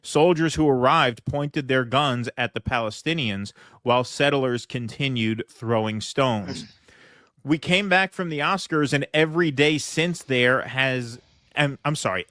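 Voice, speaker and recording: A man's voice: medium pitch, measured pace, fairly bright tone, no echo, studio quality. A man hosting a political commentary show, reading news reports aloud and commenting with frequent fillers.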